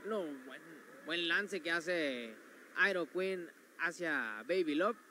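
A man's voice: fairly high pitch, lively intonation, slow pace, noisy background. A man calling wrestling moves play by play as they happen, in a gym arena. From Mexico. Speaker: wrestling commentator. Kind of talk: play-by-play commentary.

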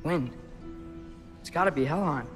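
A young-sounding man playing an earnest, curious teenage boy adventurer in a video game, casual and eager, with very loud music behind him. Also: a little high, moderate pace, lightly acted. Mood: thoughtful. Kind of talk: video game character acting.

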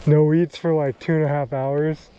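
A young man's casual outdoor commentary, spoken half to himself in a slightly high voice. The sound is dull and muffled, as if from a head-mounted action camera. Steady wind rushes across the microphone throughout. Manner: relaxed, talks to himself between catches. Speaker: fly fishing vlogger. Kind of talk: casual running commentary while fishing. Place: float tube on a windy reservoir outdoors.

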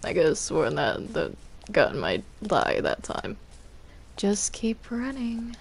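A woman improvising roleplay while playing a video game, speaking in a hesitant, halting way. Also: medium pitch, wildly swooping pitch, gravelly, slightly rough. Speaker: Minecraft roleplay player.

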